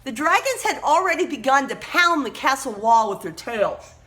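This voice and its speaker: A woman storyteller reads to children in a high, bright voice with very lively swings of pitch. She is emphatic and expressive, stepping out of the tale to point out words and pictures in a teacherly way. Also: moderate pace. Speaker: read-aloud storyteller.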